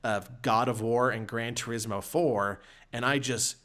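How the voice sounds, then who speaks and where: A man's voice: medium pitch, fairly even intonation, measured pace, fairly bright tone. A man reminiscing casually on a podcast panel in a hesitant, meandering way.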